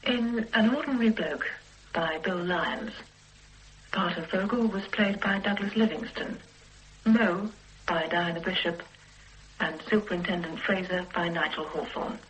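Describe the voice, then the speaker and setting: A woman's voice: on the low side, unhurried, fairly bright tone, low-quality recording. A woman announcer formally reading out the cast list at the end of a radio play.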